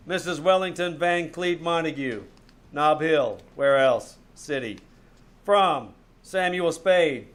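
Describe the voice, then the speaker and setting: Man acting a high-voiced wisecracking private eye. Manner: wry, bossy, mock-formal. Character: wisecracking, irritable hard-boiled male private eye. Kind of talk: old-time radio detective comedy acting. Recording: noisy live stage recording.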